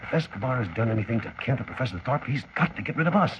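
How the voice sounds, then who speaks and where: An actor playing a brave, protective adventurer in a 1940s-style radio serial, taking charge with urgency and reassurance. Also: male voice, medium pitch, expressive, rapid-fire, close to an everyday voice. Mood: firm, protective. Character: Bill, brave protective adventurer, grown man. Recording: noisy, muffled vintage radio recording.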